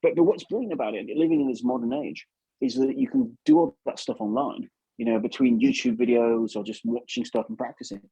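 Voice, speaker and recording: A man's voice: mid-range voice, brisk, gravelly, mellow, husky, no echo, muffled recording. A man giving casual advice as an interview guest, chatty and repeating himself for emphasis. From England.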